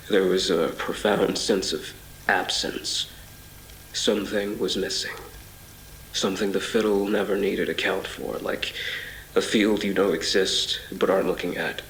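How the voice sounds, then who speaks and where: An actor playing a haunted man recounting a surreal ordeal in a brooding, literary horror audio drama monologue, full of pauses. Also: sits low, a little hiss or hum. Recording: reverberant voice over music.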